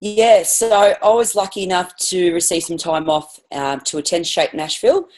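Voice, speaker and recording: A woman's voice: lowish voice, normal speed, a little mellow. An Australian woman, a physical education teacher, chatting as a guest on a podcast, enthusiastic and rambling with lots of restarts. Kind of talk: casual podcast interview.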